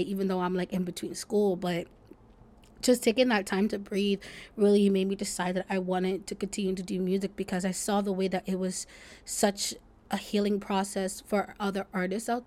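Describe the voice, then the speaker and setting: A woman's voice: medium pitch, darkish tone. A twenty-year-old woman hosting a solo podcast, talking casually and reflectively in an informal, rambling way. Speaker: podcast host.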